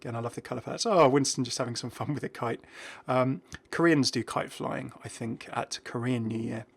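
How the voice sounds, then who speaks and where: A man's voice: mid-range voice, brisk, creaky, slightly rough. A man hosting a gaming video, giving casual commentary and musing aloud in a chatty way. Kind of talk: casual game update commentary.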